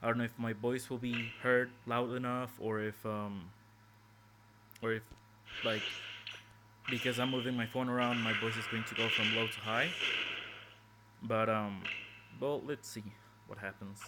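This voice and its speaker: A man's voice: mid-range voice, steady tone, unhurried, noisy background. A man casually talking through his game strategy as he plays, thinking aloud with hesitant restarts, recorded on his phone's microphone. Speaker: gameplay commentator. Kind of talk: casual game walkthrough commentary. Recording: phone mic with loud game music behind.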